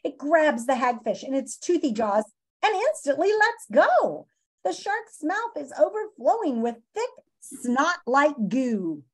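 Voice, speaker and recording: A woman in her fifties reading a children's nonfiction book aloud in a dramatic, teacherly way with emphatic pauses, on a video call from a room at home. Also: high-pitched, sing-song. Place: small home room, indoors. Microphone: video call, computer microphone.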